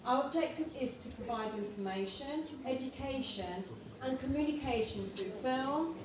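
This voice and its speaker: A woman presenting a nominee's profile at an awards night, formal and deliberate, as if reading. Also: high voice, lively intonation, noisy background. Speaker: nominee representative. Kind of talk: read-out organisation profile. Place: large hall at a live event. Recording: echoey hall, taken from far off.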